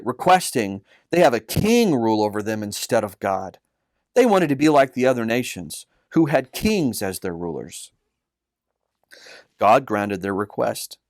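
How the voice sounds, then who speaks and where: A man narrates a children's Bible story from a script, measured and earnest. His pitch sits in the middle, and the recording is very dry. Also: no echo. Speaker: storyteller, author reading own story.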